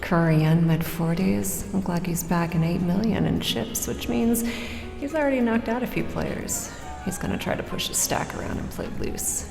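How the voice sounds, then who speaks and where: Actress as shrewd female gambler in radio drama: clipped, cool, muttering, deep reverberant space, music underneath. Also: normal speed, gravelly, lightly acted. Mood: cool, wry. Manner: clipped, calculating, muttered asides. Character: shrewd, cool-headed woman gambler sizing up her rivals. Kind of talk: audio drama acting, inner monologue. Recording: roomy, echoing hall reverb.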